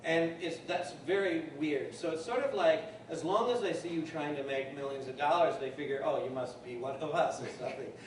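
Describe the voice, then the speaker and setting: A man's voice: a little high, a little fast, hardly any pauses. A man giving a talk at a university speaks in a loose, thinking-aloud way as he answers questions from the audience. He is recorded from far off in an echoey lecture hall, with noise underneath.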